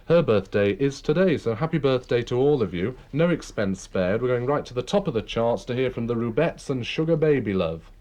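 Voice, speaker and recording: A male radio host interviewing a guest and reading out birthday announcements in a brisk, chatty way. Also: medium pitch, lively intonation, a little mellow, a little hiss or hum. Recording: dull old reel-to-reel radio recording.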